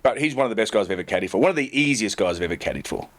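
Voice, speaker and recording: A man with a very low voice tells stories from years of caddying on tour. He talks fast, restarts his sentences, and pokes fun at himself. It sounds like a relaxed podcast conversation. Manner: rambling, self-deprecating, laughs through anecdotes. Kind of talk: casual podcast storytelling.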